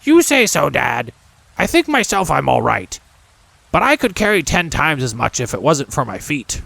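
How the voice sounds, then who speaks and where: Man putting on a high-pitched cheeky goblin boy. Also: very animated, normal speed, creaky, sharp tone, no room sound, exaggerated voice. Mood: cheeky, boastful. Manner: cheeky, boastful. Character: young male goblin son, cheeky, boastful, complaining.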